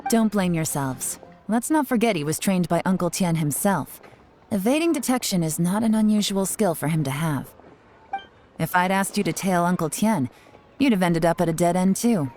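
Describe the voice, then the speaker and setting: An actress playing a cool, shrewd woman investigator in a video game, speaking with calm, measured authority. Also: on the low side, lightly acted. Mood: calm, reassuring. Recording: music playing underneath.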